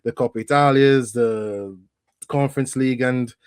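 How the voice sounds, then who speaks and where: A man's voice: medium pitch, normal speed, smooth, dry recording, studio quality. A young man from northern Italy with an English accent, chatting casually as a podcast guest and talking in a laid-back way with plenty of fillers. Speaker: guest, football content creator.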